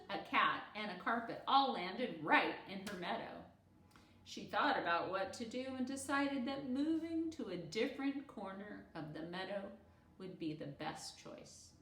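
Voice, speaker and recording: A woman reading a children's book aloud as a storyteller, in an animated, expressive manner. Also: mid-range voice, echoey room, far from the mic, rough recording. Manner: animated, acts out character dialogue.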